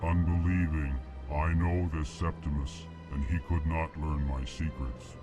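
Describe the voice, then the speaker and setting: Give me a ponderous alien diplomat in a game cutscene. The voice sits at the very bottom of the register and creeps along in an unbroken monotone. He flatly announces each emotion before he speaks. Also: over music. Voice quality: very deep, slow monotone drone. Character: massive slow alien male diplomat, emotionless. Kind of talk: video game cutscene dialogue.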